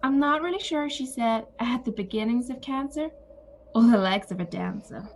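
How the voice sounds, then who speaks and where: A woman acting a calm, wry older sister in an audio play. Her voice sits high and has a composed, bookish manner, with clear background noise and ambience around it. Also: normal speed, close to an everyday voice. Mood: content, wry. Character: calm wry older sister Bea, former literature teacher.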